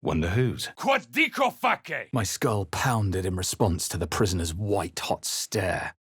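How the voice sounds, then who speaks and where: A wry male adventurer from a fantasy video game. His voice sits on the low side and has a rough, husky grain. He speaks in a measured, dramatic way, brooding and dry, in a very dead studio sound. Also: sing-song, a bit bright, dry recording, full character voice. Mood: incanting. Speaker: player character voice in a fantasy role-playing video game. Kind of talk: video game voice lines, exploration remarks, spell chants and inward narration.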